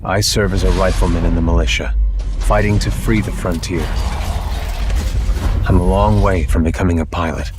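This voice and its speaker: Deep, solemn militia rifleman narrating, acted by a man. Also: fairly even intonation, close to an everyday voice. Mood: somber, resolute. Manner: solemn, measured, reverent. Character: earnest militia rifleman, narrating his war on the frontier. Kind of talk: scripted cinematic game narration. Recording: music behind the voice.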